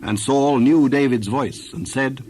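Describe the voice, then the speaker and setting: Measured male scripture narrator, mid-pitched. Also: mellow, slight background noise. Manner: measured, formal reading.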